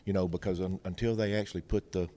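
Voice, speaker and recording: Male interview guest, Mississippi drawl, low dark voice, folksy expert delivery, faint noise behind. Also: steady tone, quick pace. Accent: Mississippi Southern American. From Mississippi, United States. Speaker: veterinarian, technical consultant, interview guest. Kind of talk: explanatory interview talk with anecdotes and analogies.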